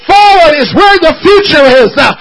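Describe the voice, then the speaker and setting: A man preaching an impassioned sermon in church, shouting with his voice breaking from emotion. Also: extremely high pitch, sing-song, moderate pace, noisy background. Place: church service.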